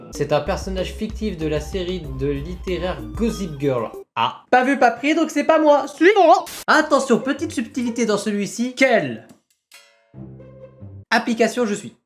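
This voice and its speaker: A young man casually reading out online quizzes and commenting on them in a playful, self-mocking way. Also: high-pitched. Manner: playful, self-mocking, animated with mock-dramatic asides. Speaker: online video host.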